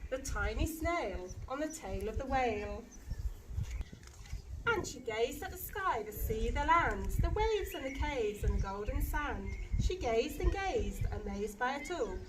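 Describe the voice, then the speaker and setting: A woman with a high voice reads a rhyming picture book to children. Her delivery is dramatic and very lively, swinging up and down in pitch. There is clear noise behind her. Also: a little slow. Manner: animated, dramatic storytelling. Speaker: storybook reader, school staff member.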